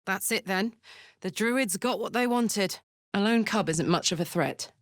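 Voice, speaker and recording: Fantasy game heroine, female actor: hell-escaped warrior, rough-edged and blunt but big-hearted, measured pace, dry studio sound. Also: mid-range voice, no room sound, natural voice. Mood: tender, regretful. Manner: blunt, earthy, sweary. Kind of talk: video game character voice acting.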